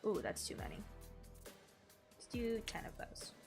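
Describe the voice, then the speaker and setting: A woman's voice: on the high side, expressive, measured pace, creaky, over music. A young woman with a slightly nasal, congested voice, chatting casually and slangily with her viewers while playing a game. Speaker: game streamer. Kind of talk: casual gameplay chat to stream viewers.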